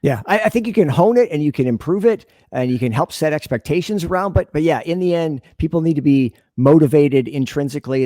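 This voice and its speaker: A man's voice: mid-range voice, warm tone, no echo, studio quality. A man speaking as a podcast guest, explaining earnestly and conversationally, often voicing imagined dialogue as he goes. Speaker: podcast guest, sales leader and business consultant. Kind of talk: conversational interview answers.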